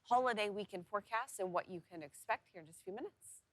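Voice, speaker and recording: A woman's voice: medium pitch, fast talker, dry recording. A woman in her thirties with an American accent, presenting weather conversationally as a TV co-host. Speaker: TV meteorologist and co-host. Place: TV news studio.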